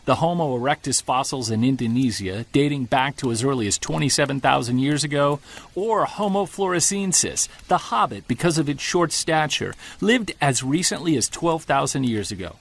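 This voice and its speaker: A man's voice: a little high, creaky. A man playing an earnest, indignant Bigfoot believer in an audio drama monologue, arguing emphatically with sardonic rhetorical flourishes. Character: Jared, earnest, indignant male Bigfoot believer recording field notes. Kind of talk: audio drama monologue, podcast-style rant.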